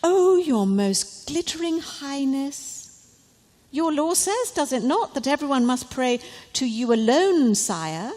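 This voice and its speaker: A woman's voice: high-pitched, pitched above the performer's own voice, heavily acted. Woman playing oily, fawning male courtiers in a reverberant hall. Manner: grandly obsequious, drawn-out flattery. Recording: live reading in a reverberant hall.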